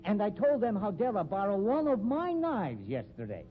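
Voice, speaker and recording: Sly, high-voiced carnival schemer. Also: male voice, big pitch swings, normal speed. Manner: stilted formal phrasing, taunting. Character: sly, sneering foreign carnival knife man, contemptuous schemer. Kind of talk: 1950s radio drama acting. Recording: dull, noisy 1950s radio recording.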